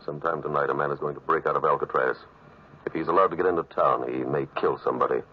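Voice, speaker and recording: An actor playing a towering, solemn priest in a vintage radio drama, with a very deep voice and a grave, firm manner. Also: male voice, fairly even intonation, quick pace, a little hiss or hum. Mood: earnest, firm. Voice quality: very deep, bassoon-like. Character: towering, solemn, stern priest with a plain-spoken will. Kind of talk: 1940s radio drama acting. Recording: muffled old radio recording.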